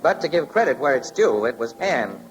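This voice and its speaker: Actor as easygoing, animated newsman sleuth. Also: mid-range voice, brisk, faint music behind, natural voice, poor audio. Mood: genial, explaining. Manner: genial, explanatory. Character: easygoing, clever newspaper photographer sleuth. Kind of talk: 1940s radio acting.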